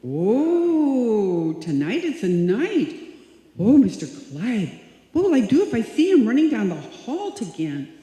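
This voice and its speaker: An actress playing a naive, dopey young secretary with a guileless manner in a live radio comedy. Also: female voice, fairly high pitch, sing-song, slow, mellow. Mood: worried. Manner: guileless, dim-witted delivery. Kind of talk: live old-time radio comedy acting. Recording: echoey hall, live stage performance.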